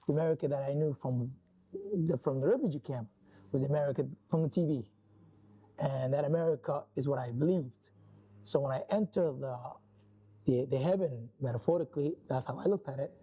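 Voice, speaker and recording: Man from Somalia as a guest author, earnest and halting, very dark, mellow voice. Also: mid-range voice, husky. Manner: earnest, halting, many restarts. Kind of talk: interview conversation.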